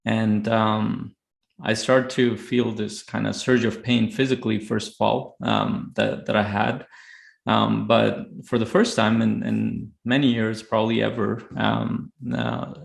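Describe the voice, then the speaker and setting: A man from Afghanistan with a low voice full of heavy vocal fry. He shares his own story in a reflective, halting way, often pausing on filler words. The room adds a noticeable echo. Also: fairly even intonation. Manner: halting, many filled pauses. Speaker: podcast guest, high-performance coach. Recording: roomy, echoey sound.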